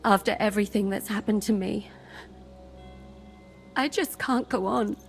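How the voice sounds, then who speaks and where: A woman's voice: mid-range voice, unhurried, vocal fry, faint music behind. A woman playing a bitter, emotionally wounded young medieval woman in a game, weary and full of feeling. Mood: sorrowful. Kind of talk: dramatic game cutscene acting.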